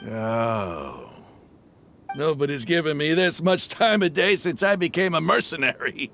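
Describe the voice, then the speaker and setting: Gravelly, taunting mercenary boss. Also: male voice, on the high side, very animated, a little slow, fairly bright tone, slight background noise, heavily acted. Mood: mocking. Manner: taunting, theatrical drawl. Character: brash, scornful desert mercenary gang leader. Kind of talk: video game villain acting.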